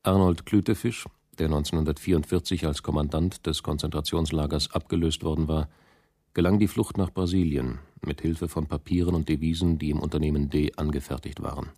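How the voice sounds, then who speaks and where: A man narrating a radio drama in a sober, matter-of-fact, measured documentary style. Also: rumbling low, steady tone, vocal fry, mellow, breathy edge, no echo, clean studio sound.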